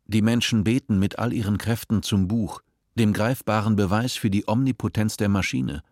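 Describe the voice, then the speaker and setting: A man narrating a radio play in a measured, sober way. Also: fairly low pitch, steady tone, a little mellow, dry recording, clean studio sound.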